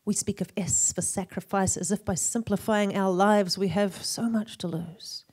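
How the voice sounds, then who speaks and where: A woman with a New Zealand accent performing slam poetry, with deliberate, rhythmic phrasing and dramatic emphasis. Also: medium pitch, steady tone, husky. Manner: emphatic, deliberate phrasing with swings between hushed and forceful delivery. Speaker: slam poet.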